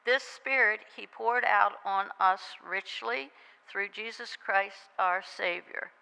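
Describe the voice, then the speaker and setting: A woman with a bright, gravelly voice reads a scripture passage aloud, measured and deliberate. Her words carry the long reverb of a church hall. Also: mid-range voice. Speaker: scripture reader. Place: church sanctuary.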